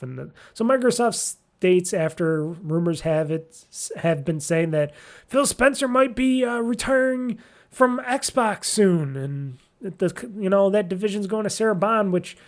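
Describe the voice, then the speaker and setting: A man with a somewhat high-pitched voice hosts a gaming podcast on his own. He comments casually on the news and rambles along informally, with some mild swearing. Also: a little mellow. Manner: rambling, informal, mildly profane.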